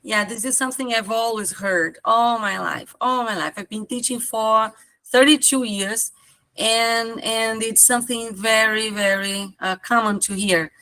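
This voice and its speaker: Bright-voiced middle-aged woman moderating. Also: fairly high pitch, a little slow, no echo, low-quality recording. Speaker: seminar moderator and language teacher. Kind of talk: informal seminar moderating and discussion.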